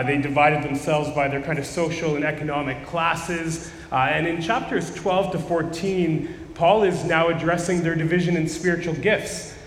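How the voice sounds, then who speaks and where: A man preaching a sermon as pastor, slightly hoarse from losing his voice, in a casual, conversational way with frequent restarts. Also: on the high side, roomy sound, big-room sound, a little hiss or hum, poor audio. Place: church sanctuary.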